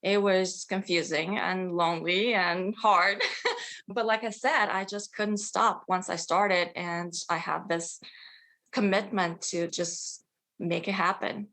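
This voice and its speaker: A woman's voice: lowish voice, fairly even intonation, slow pace, a bit bright. A middle-aged woman, a native Bulgarian speaker from Bulgaria, answering interview questions as a children's author in an enthusiastic way, laughing often.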